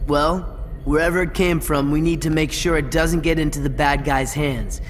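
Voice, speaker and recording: A man's voice: a little high, fairly even intonation, normal speed, slight background noise, close to an everyday voice. An actor voicing a sarcastic, tough teenage boy in a video game, dry and wisecracking. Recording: game audio with long reverb. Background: music.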